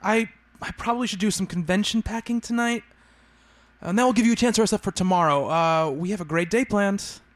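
A man playing an earnest psychiatrist who narrates his own story in a radio play, in a measured, gentle, literary manner. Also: sits high, no echo, lightly acted. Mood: gentle, reassuring.

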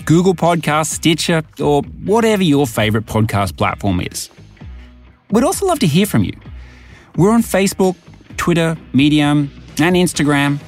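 A man reads podcast narration from a script with an animated, rising and falling delivery. A faint guitar bed plays under his voice. He stresses words emphatically. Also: a little high.

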